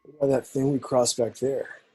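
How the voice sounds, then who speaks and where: A man at an amateur table read playing a breezy, easygoing college guy with a husky voice. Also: medium pitch, flat delivery, moderate pace, dark tone, close to an everyday voice, poor audio.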